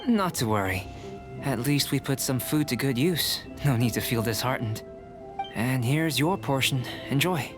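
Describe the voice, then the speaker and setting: A man voicing a polite, composed young male alchemist who speaks in a measured, gently teasing way, heard over game music. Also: medium pitch, expressive, close to an everyday voice. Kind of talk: game voice acting. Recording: studio game audio with music behind. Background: clear music.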